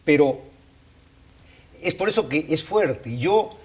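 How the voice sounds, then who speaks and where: A man giving unscripted analysis as a panelist, explaining deliberately and repeating points for emphasis. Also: a little high, lively intonation. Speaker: political panelist.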